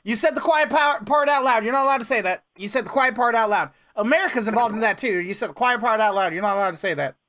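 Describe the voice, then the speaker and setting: A man's voice: very high voice, fast, hardly any pauses, pushed and bright. A man chatting casually and playfully as a call host, often interjecting and repeating his phrases.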